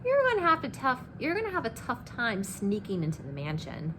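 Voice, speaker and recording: Woman reader as a curious, frank little girl, raised pitch, questioning, audible background noise. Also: wildly swooping pitch, brisk.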